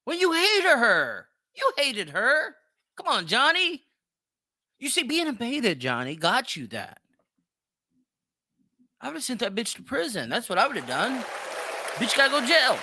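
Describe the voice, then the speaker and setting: Brash guy podcast host, swears a lot, high swoopy voice, faint crowd behind. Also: huge pitch swings, measured pace, long pauses, pushed and bright, no echo. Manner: brash, profane, exclamatory. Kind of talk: opinionated reaction commentary.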